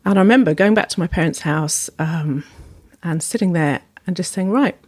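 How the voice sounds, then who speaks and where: A woman's voice: lowish voice, moderate pace, darkish tone, no echo, studio quality. A woman, a sound therapist and former singer, telling her story thoughtfully in a podcast conversation with many ums and restarts.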